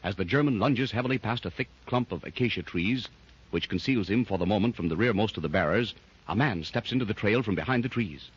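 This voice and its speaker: A man's voice: fairly low pitch, a little fast, husky. A man narrating a 1930s radio serial in a vivid, dramatic manner. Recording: dull lo-fi 1930s radio recording with faint hiss.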